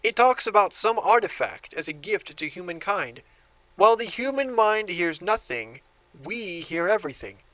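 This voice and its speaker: An actor playing an obsessive book collector who grows entranced, in a horror audio drama. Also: male voice, high voice, big pitch swings, moderate pace, sharp tone, dry recording, heavily acted, poor audio. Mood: intrigued, obsessive. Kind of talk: audio drama monologue and dialogue.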